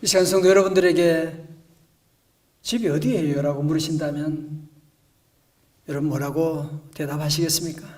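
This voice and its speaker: A male pastor preaching a storytelling sermon in a church, moving between hushed and emphatic delivery. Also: on the high side, level tone, normal speed, far from the mic. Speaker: senior pastor. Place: church sanctuary.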